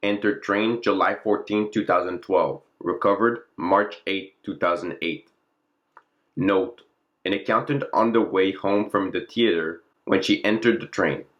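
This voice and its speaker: Low-voiced man reading a formal log. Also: a little slow, a bit bright, dull audio. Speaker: narrator and presenter of the channel. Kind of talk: scripted narration of a fictional classified document.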